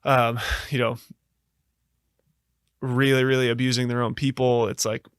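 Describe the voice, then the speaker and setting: An American man telling a reflective story as a podcast guest, earnest and halting, with frequent fillers and restarts. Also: mid-range voice, little pitch movement, clear, resonant tone, no echo, clean studio sound. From United States.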